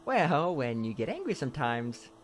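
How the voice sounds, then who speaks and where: An actor playing an earnest, guilt-ridden young male attendant to a princess in an animated drama, apologetic and pleading. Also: mid-range voice, moderate pace, a little hiss or hum, natural voice. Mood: guilty, awkward.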